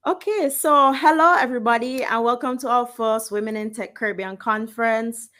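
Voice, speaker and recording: A woman's voice: fairly high pitch, normal speed, bright, forceful tone. Woman founder giving an opening address. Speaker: organization founder. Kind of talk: conference opening speech.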